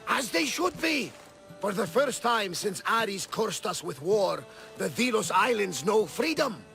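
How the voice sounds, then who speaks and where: Casting: a scarred, jovial second-in-command at sea for a game, rough creaky voice pitched high. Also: male voice, big pitch swings, unhurried, sharp tone, faint music behind, heavily acted. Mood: jubilant. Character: hearty, battle-scarred one-eyed sailor lieutenant, loyal and celebratory. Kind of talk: cinematic video game acting.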